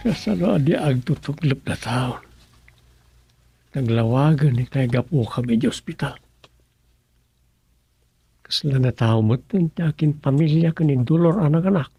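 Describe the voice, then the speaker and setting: A man's voice: on the high side, expressive, unhurried, vocal fry, long pauses, warm tone, breathy edge, no room sound, heavily acted. A man with an Ilocano Filipino accent acting as an evasive, scheming village husband in a comic radio serial. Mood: sly muttering.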